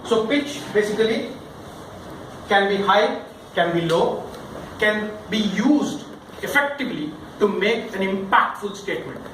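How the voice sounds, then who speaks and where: High-pitched man teaching in an echoey room. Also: animated, unhurried, fairly bright tone. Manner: theatrical, emphatic, questioning delivery with demonstrations. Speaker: workshop speaker, voice trainer. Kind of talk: interactive public-speaking lesson to a group. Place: indoor session room with audience. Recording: echoey room, distant mic, background noise.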